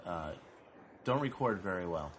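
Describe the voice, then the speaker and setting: Deep-voiced man hosting a podcast. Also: lively intonation, a little slow, a little hiss or hum. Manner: dry, sarcastic and laid-back, with fillers. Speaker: podcast host. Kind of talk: casual podcast chat.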